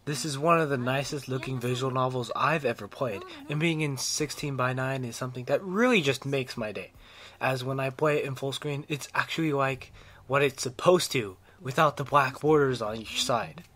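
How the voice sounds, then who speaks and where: A man's voice: medium pitch, normal speed, a bit bright. A man reading a scripted video game review as an enthusiastic, opinionated fan.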